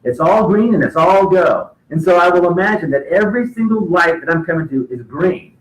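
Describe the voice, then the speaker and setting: A man's voice: high voice, expressive, fairly quick. A man hosting a casual conversation, rambling and searching for words, playful at times. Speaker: host. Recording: echoey room with faint noise.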